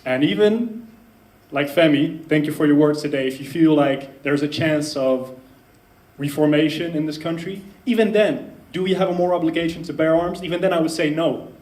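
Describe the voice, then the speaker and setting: A man's voice: on the high side, moderate pace, a little mellow, roomy sound. Earnest young man from the Netherlands. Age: 20s. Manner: earnest, apologetic about swearing. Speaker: audience speaker at a debate. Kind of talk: public debate speech.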